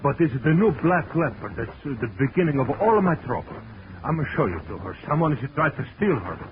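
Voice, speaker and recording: An actor playing a blustery foreign circus owner who speaks broken English in a 1940s radio drama. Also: male voice, fairly high pitch, sing-song, fast talker. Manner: broken English, theatrical foreign put-on, chuckling. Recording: lo-fi vintage radio recording with hiss.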